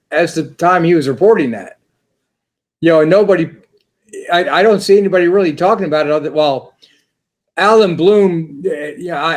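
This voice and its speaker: A man speaking as a guest on a talk show, rambling with many repeated words and restarts. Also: a little high. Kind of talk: casual interview chat.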